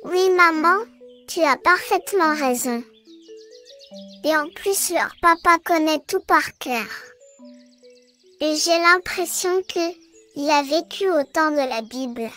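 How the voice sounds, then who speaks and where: An eager, obedient little girl from a children's cartoon, voiced by a woman. The voice sits high and bright, and the words come slowly and politely. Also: soft background music, full character voice. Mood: happy, agreeable.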